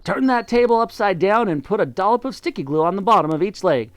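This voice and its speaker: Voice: medium pitch, expressive, fast talker, no room sound, pitched above the performer's own voice, lightly acted. A reader doing a frantic, bossy monkey dad. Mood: excited. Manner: shouting, urgent commands.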